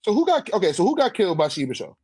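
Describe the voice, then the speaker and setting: A man's voice: high-pitched, huge pitch swings, very fast, dark tone, no room sound, studio quality. A man debating casually in profane slang, repeating his phrases for emphasis.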